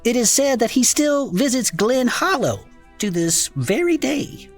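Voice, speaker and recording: A woman's voice: a little high, very animated, measured pace, faint music behind. A woman playing a wry, skeptical, folksy cryptid investigator who questions everything, in conversational audio fiction. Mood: puzzled reporting.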